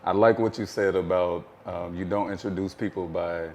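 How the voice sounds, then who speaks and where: An American man as an interview guest, telling his story in a thoughtful, measured way with frequent fillers. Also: sits low, fairly even intonation, a little mellow, slight background noise. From United States.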